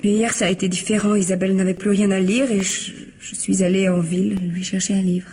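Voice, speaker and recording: A woman playing an earnest, softly hesitant widow confiding in the police in a radio mystery drama. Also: mid-range voice, level tone, brisk, a little hiss or hum, close to an everyday voice.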